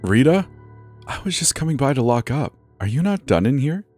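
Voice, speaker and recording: A man voicing a calm, reassuring female camp manager in a horror story, speaking softly. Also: medium pitch, normal speed, faint music behind, voice pushed up. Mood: surprised, matter-of-fact. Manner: soft, reassuring. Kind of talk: dramatized horror story narration.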